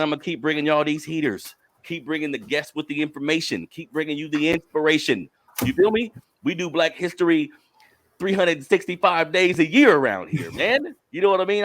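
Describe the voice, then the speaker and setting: A young man hosting a podcast, hyping up his listeners with slangy, energetic talk before interviewing a guest. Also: on the high side. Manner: hyped, calls listeners family, slangy. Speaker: podcast host.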